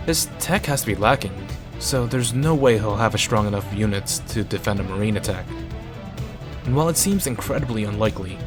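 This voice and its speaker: Low-voiced man reading a game story. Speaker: video creator reading a visual novel aloud. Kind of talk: narrating a visual novel with casual asides.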